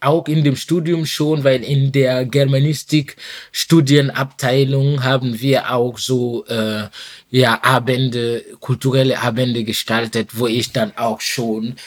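Slow, hesitant man. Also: mid-range voice, little pitch movement. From Burkina Faso. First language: Mooré. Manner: slow, hesitant, many "äh" fillers. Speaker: musician guest. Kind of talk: interview answers.

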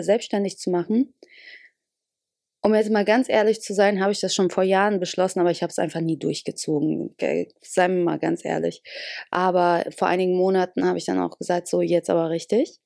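A young woman hosts her podcast from her bed, speaking slowly in a relaxed, rambling way full of small hesitations. Her voice is low, dark and gravelly with fry. The sound is close and dry, with no room around it. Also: no room sound. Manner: relaxed, rambling, many fillers. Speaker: podcast host. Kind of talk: casual spontaneous monologue.

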